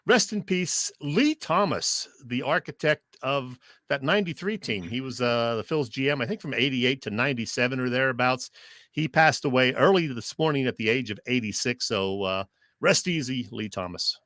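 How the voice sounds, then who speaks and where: Man, sports radio co-host, medium pitch, conversational, joking, casual chat, close dry recording. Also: normal speed, fairly bright tone, no room sound.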